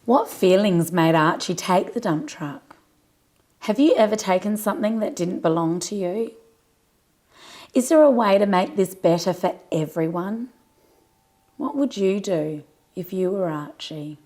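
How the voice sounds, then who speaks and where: A woman reading a scripted children's story as storyteller, with expressive phrasing aimed at young listeners. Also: mid-range voice, a little slow.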